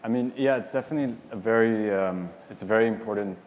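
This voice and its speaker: A man's voice: lowish voice, fast talker, a little mellow. A man on a symposium panel giving a loose, rambling answer full of "you know" and "like". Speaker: panelist and publisher. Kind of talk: casual spoken answer on a panel. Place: hall at a symposium. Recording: long reverb in a hall, faint noise.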